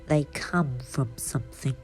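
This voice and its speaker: A woman voicing a matter-of-fact male toy duck with a flat, muttering storybook delivery over music. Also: extremely low pitch, unhurried, rough recording.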